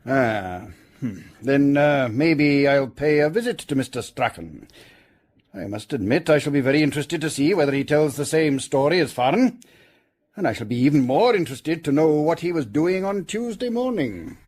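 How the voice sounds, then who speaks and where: Higher-voiced British official, probing and formal. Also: male voice, lively intonation, a little mellow. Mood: brisk, curious. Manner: formal, measured, insistent. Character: authoritative, probing senior male official questioning suspects. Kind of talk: British detective radio drama acting.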